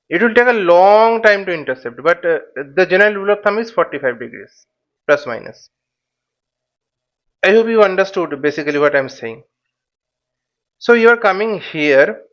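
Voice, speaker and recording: A man giving an explanatory tutorial as an instructor, thinking aloud in a halting, repetitive way and often checking in with short tags. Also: high-pitched, lively intonation, fairly bright tone, lo-fi sound. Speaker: flight simulation instructor.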